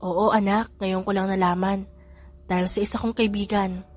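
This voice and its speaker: A woman's voice: mid-range voice, flat delivery, moderate pace, a little mellow, faint music behind, natural voice. A woman voicing an earnest young mother of triplets who speaks in sincere, questioning tones. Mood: gentle.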